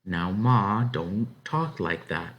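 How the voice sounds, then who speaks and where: An easygoing, middle-aged country farmer and father from a storybook, played by a man reading aloud. He talks in a hesitant, folksy drawl with animated pitch. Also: takes it slowly, muffled recording. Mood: placating.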